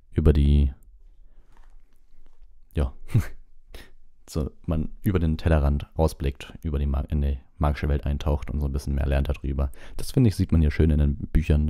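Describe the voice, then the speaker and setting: A man reading an audiobook and hosting a livestream, with a relaxed, informal way of talking. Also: extremely low pitch, fairly quick. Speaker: audiobook reader, livestream host. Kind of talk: narration and casual livestream chat.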